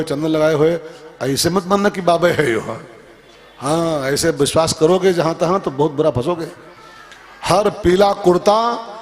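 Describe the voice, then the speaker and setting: High-pitched male preacher. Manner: emphatic, repeats phrases, asks rhetorical questions. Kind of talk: musical scripture discourse with moral teaching.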